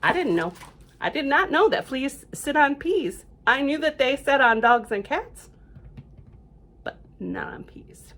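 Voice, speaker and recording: High-pitched, very animated woman reading to children. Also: measured pace. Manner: asks the young listeners questions. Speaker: library storytime reader. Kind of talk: children's picture book read-aloud with chatty asides.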